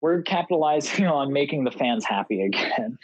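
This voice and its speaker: A man chatting casually as a podcast guest, halting and repeating words as he goes. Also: mid-range voice, animated, no room sound, muffled recording. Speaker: podcast guest, audio drama creator.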